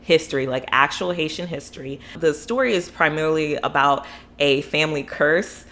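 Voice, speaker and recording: A woman's voice: low voice, normal speed, fairly bright tone. A young adult woman from Florida reviewing books in a casual, rambling, enthusiastic vlog, full of fillers and asides. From Florida, USA. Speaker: book vlogger.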